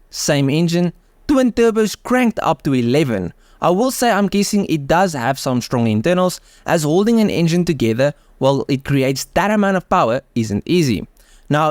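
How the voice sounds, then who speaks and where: Lively South African man narrating cars. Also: a little high, no room sound, studio quality. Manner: enthusiastic, with offhand conversational asides. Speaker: car channel host. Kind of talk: scripted car video voice-over with casual asides.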